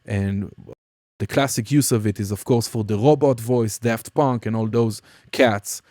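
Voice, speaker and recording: A man giving an informal, explanatory software walkthrough as a music production instructor. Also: lowish voice, measured pace, dry recording, clean studio sound. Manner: casual, explanatory. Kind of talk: screen-recorded software tutorial, informal walkthrough.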